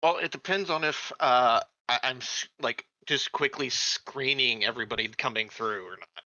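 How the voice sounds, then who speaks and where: A man's voice: medium pitch, normal speed, bright, forceful tone, no echo, muffled recording. A man chatting casually as a player in a tabletop roleplaying game.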